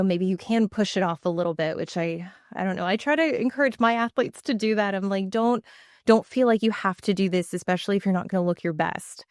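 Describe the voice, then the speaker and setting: A woman's voice: lowish voice, a little mellow, dry recording, studio quality. A woman hosting a podcast and coaching athletes, chatty and full of fillers, still congested after weeks of being sick. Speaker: podcast host, bodybuilding coach. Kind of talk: casual podcast conversation.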